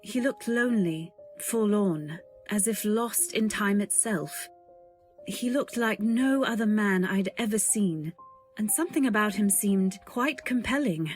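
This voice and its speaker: Wistful young woman acted by a woman, medium pitch, timid, deferential, measured radio drama narration, soft music bed. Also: natural voice. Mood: dreamy, captivated. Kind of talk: old-time radio drama, first-person narration.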